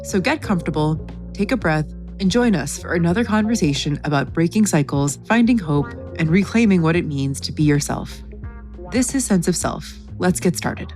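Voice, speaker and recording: Woman podcast host, lowish voice, calm measured read, faint music bed. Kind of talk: scripted intro and interview questions.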